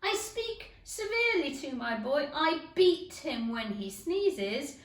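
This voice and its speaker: Woman playing a loud, high-pitched, bossy Duchess. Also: sing-song, slow pace, exaggerated voice. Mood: harsh singsong. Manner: brusque, emphatic, stretched-out words. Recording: echoey room, distant microphone.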